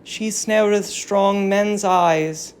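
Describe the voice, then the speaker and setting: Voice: mid-range voice, fairly even intonation, drawn-out words. A performer voicing a grave, grieving old Trojan queen, declaiming solemn archaic verse in a dramatic tragedy reading. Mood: urgent warning.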